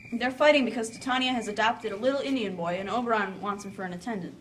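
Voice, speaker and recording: A woman's voice: mid-range voice, fast. A woman narrating a story from a script, with playful asides. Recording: slightly distant, faint background noise.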